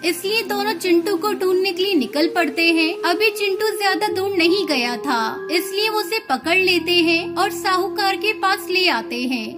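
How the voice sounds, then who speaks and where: A woman narrates a children's cartoon tale from a script. Her voice is extremely high, and loud music runs underneath. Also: animated, moderate pace, few pauses.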